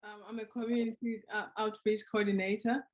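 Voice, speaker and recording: A woman's voice: medium pitch, flat delivery, fairly quick. A woman in her twenties telling her personal story on a panel, earnest and reflective, over a video call. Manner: earnest, reflective, with soft laughs. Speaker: panel speaker.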